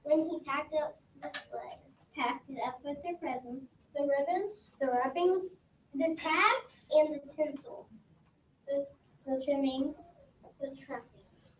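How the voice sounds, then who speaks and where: A young reader, sounding like a child, reading a rhyming story aloud slowly and haltingly. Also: high-pitched. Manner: slow, stumbling over words. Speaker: readers theater performer. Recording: roomy, distant mic, background noise.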